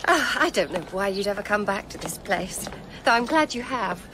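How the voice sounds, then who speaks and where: An actress playing an eager, anxious, chattering companion in a radio drama. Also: female voice, medium pitch, lively intonation, moderate pace, noisy background, close to an everyday voice. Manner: effusive, chattering. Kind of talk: British radio drama acting.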